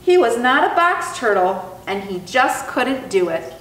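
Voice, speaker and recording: A young woman reads a children's story in a high, very melodic voice. Her delivery is deliberate and sing-song. The room is echoey, with faint noise behind. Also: very animated, fairly bright tone, far from the mic. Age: young adult. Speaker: zoo educator and storytime host. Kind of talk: expressive children's storybook reading. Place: indoor room, reading through a face mask. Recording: roomy sound with faint background noise.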